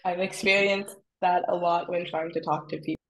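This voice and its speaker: A woman's voice: on the low side, fairly even intonation, moderate pace, low-quality recording. A young woman from eastern Canada introducing herself casually as a student on a video call. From Nova Scotia, Canada. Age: young adult. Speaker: student panelist.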